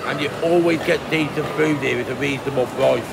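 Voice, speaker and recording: A man in his fifties eating and reviewing food court dishes into a phone at arm's length, chatty and relaxed, pausing to murmur while he tastes. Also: medium pitch, creaky, very noisy. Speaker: food vlogger. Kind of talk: casual food review vlog. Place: indoor shopping mall food court under a glass roof. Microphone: phone held at arm's length, facing up. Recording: loud mall ambience behind the voice.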